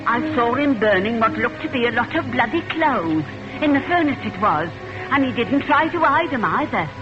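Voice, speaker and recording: A woman playing a gossipy high-voiced maid witness. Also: animated, moderate pace, lightly acted. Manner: chatty, folksy, emphatic. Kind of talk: 1940s radio acting. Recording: dull old radio recording with music.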